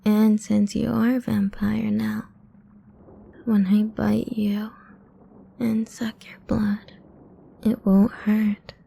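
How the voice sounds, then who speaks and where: A woman playing a seductive, domineering ancient vampire queen in an intimate ASMR roleplay, mostly in a breathy, husky whisper, slow, teasing and commanding. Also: mid-range voice, flat delivery, warm tone, exaggerated voice.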